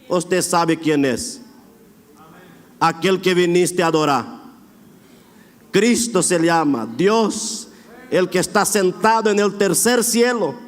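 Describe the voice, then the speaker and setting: A middle-aged man preaching an emphatic, drawn-out sermon in Argentine border Spanish with a Brazilian-influenced accent. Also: sits high, a bit bright. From border area between Argentina and Brazil. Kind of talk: Pentecostal sermon. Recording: echoey hall with long reverb.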